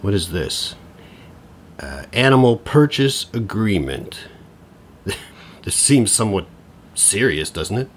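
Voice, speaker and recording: A flustered middle-aged dad, widely swinging pitch. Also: male voice, very animated, a little hiss or hum. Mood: awkward, uneasy. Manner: halting, flustered, exasperated. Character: harried divorcing father in his forties, sarcastic and awkward. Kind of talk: comedic audio drama acting.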